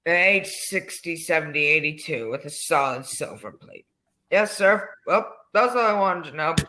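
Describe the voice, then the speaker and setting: An eager, eccentric old salesman from an old-time radio play , acted by a man. His voice sits fairly high with a sharp, bright edge. He is folksy and fussy, repeating himself with excessive politeness. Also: expressive, moderate pace, low-quality recording. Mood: polite, grateful. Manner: fussy, folksy, repetitive, overly deferential.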